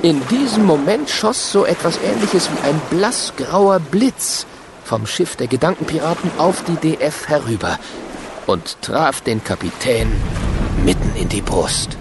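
A man's voice: fairly high pitch, big pitch swings, a little slow, vocal fry, noisy background. A man narrating a children's radio play in a vivid storytelling manner. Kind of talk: scripted audio drama narration.

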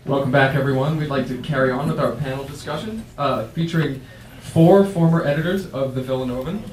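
A man's voice: medium pitch, darkish tone. Distant man moderating a panel. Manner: halting, many "uh" fillers. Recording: roomy, distant mic with faint noise.

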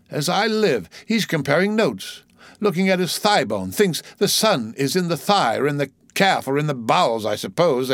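A man's voice: mid-range voice, vocal fry, no echo. A man voicing a jocular old sailor who mutters exclamatory asides to himself in a theatrical storybook reading.

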